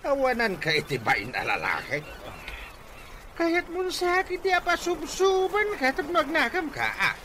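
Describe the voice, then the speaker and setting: A man's voice: extremely high pitch, huge pitch swings, unhurried, background noise, full character voice. A man playing an exasperated older father who scolds his grown son in a blustering, emphatic way, in a radio comedy-drama. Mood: exasperated scolding.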